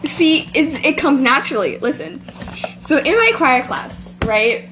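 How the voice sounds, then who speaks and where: A woman's voice: high-pitched, expressive, unhurried, fairly bright tone, a little hiss or hum, low-quality recording. A teenage girl hosting a homemade podcast, rambling through a school story with lots of giggling. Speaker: podcast host. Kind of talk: casual chat.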